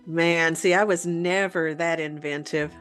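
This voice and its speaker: A woman co-hosting a show in casual chat, speaking in an informal, expressive way. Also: low-pitched, unhurried, smooth, soft background music. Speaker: podcast co-host.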